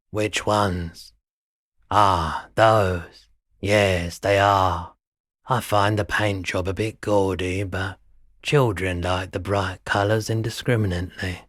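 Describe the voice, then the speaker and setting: Slow, low-voiced weary shopkeeper. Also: male voice, a bit bright, no room sound, clean studio sound. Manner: unhurried, low-key, matter-of-fact, dryly polite. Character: weary, mild-mannered male pawnshop owner, no longer young. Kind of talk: video game dialogue acting.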